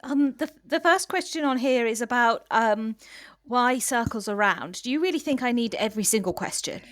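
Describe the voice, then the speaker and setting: A woman's voice: on the high side, expressive, normal speed, a bit bright, no echo, clean studio sound. A woman playing a hapless, bemused office temp in an improvised comedy audio drama. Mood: hesitant, bemused. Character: hapless female office temp reading silly questions aloud.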